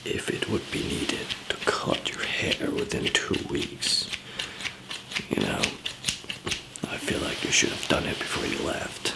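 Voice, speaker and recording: A man doing a whispered ASMR roleplay as a calm apocalypse survivor, murmuring in a hesitant, halting way. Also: rough recording. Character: calm zombie apocalypse survivor handing out supplies.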